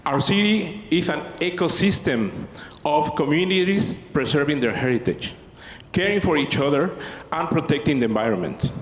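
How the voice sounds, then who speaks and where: A man who co-founded a community organization, giving a measured, earnest prepared speech. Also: fairly high pitch, animated. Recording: roomy hall reverb, faint noise.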